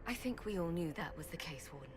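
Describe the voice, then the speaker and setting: A woman playing a defiant female rebel champion in a video game, forceful and cutting in her delivery. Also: on the low side, animated, fast, noisy background, lightly acted. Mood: indignant. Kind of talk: dramatic video game acting.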